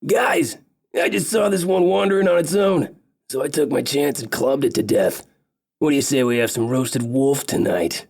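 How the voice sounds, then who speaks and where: A man's voice: medium pitch, moderate pace, creaky, darkish tone, pitched above the performer's own voice. A man voicing a cheerful, boisterous big male elf, a former lumberjack. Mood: jovial. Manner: jovial, boisterous.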